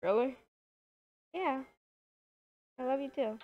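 A woman's voice: high voice, takes it slowly. A woman improvising a casual game roleplay as a video creator playing characters. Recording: dull, lo-fi microphone.

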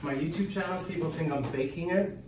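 A man's voice: on the high side, a little fast, over a noisy crowd. A man in his fifties, a chiropractor hosting a casual treatment video, giving instructions and reassuring the patient as he goes. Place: small indoor treatment room. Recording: roomy, distant mic with clear background noise.

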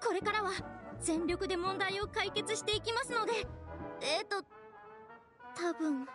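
Very high-pitched bubbly girl guide. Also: unhurried, over music. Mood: apologetic. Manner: polite, chatty, eager to explain. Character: bubbly, apologetic, helpful young girl guide. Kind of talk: Japanese anime game voice acting.

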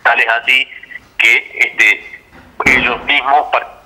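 A man's voice: mid-range voice, steady tone, slow, pushed and bright. An Argentine man giving spontaneous, explanatory answers as an interview guest over a telephone line. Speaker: interview guest, theatre director.

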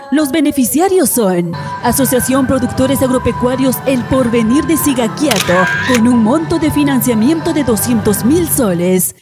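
A woman reading a scripted news voice-over, clear and measured as she lists names and sums. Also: high-pitched. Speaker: news narrator. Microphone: studio voice-over mic. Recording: voice-over over loud music.

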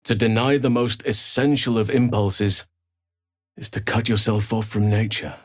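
An actor playing a big, calm, earnest male elf druid in a fantasy video game, speaking in a measured, gentle, solemn way. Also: on the low side, no room sound, clean studio sound, natural voice.

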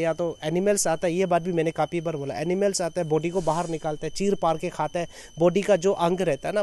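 A man in his thirties speaks in a slightly high voice with a Northeast Indian, Arunachal accent. He sounds earnest, making an off-the-cuff statement to reporters' microphones outdoors, stacking repeated phrases to press his point. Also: a little mellow. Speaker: foundation chairman, activist. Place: outdoors in an open clearing with trees. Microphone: handheld reporters' microphones held at chest height.

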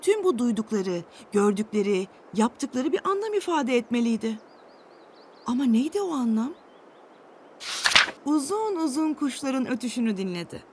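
A woman reading a scripted children's storybook tale with an expressive storytelling delivery. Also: fairly high pitch, normal speed, noisy background.

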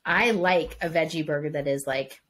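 A woman's voice: low voice, moderate pace, fairly bright tone. A woman co-hosting a podcast in casual chat, rambling with restarts and asides. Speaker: podcast co-host.

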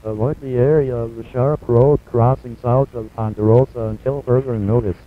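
A man's voice playing an impersonal automated emergency announcer, reading warnings in a flat, formal, measured way. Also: mid-range voice, lightly acted. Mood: impersonal, grave. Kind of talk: scripted emergency broadcast warning. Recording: muffled lo-fi VHS broadcast audio.

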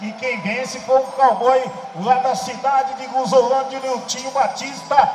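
A man's voice: very high voice, fairly even intonation, a little slow, a little mellow, soft background music. A man from the of São commenting on rodeo replays, explaining each rider's technique. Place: rodeo arena. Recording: echoey arena PA with long reverb.

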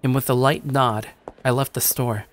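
A man's voice: mid-range voice, fairly even intonation, moderate pace, natural voice. A young man playing a shy but slightly cocky gamer who narrates his day in a casual, musing way, like an anime-style audio drama. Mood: musing, upbeat. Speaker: narrator and lead character. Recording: dry studio voice over music. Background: music.

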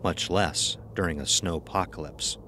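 Deep-voiced man narrating horror. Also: measured pace, background noise. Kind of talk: scripted horror story narration.

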